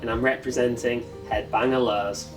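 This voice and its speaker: A man from Northwest England answering interview questions, relaxed and candid. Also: medium pitch, moderate pace. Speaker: angler competitor. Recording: distant mic, faint music behind.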